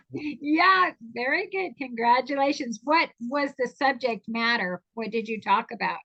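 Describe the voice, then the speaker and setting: A woman hosting a talk show in a high, bright voice. She is effusive and enthusiastic, quick to praise her guests and move to the next question. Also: muffled recording. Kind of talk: casual show chat.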